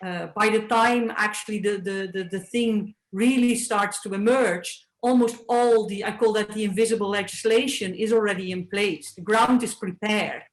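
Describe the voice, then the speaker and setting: A woman speaks in a mid-pitched, thoughtful voice, circling her ideas with frequent fillers. The sound carries a noticeable room echo, as at a panel talk. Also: fairly even intonation, normal speed, hardly any pauses. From Netherlands. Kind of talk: panel discussion.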